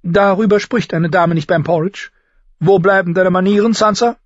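Man voicing a stern, high-pitched governess. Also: normal speed, dry recording, dull audio. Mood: scolding. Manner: scolding, strict. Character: stern, prim female governess, easily scandalised.

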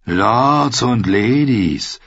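A man's voice: fairly high pitch, lively intonation, a little slow, slightly rough, no echo, lo-fi sound, pitched above the performer's own voice. A man voicing a sullen, scornful commoner boy in an audiobook, grumbling his lines. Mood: disgusted. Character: sullen, disgusted, bull-headed teenage commoner boy. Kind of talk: audiobook character voice.

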